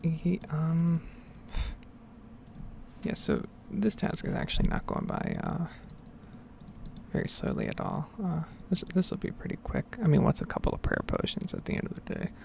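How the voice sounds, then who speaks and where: ASMR gaming host, male, hushed and hesitant, crackly vocal fry, pitch that swings widely. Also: wildly swooping pitch, moderate pace. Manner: rambling, hesitant. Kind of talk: ASMR, soft-spoken and whispered game commentary.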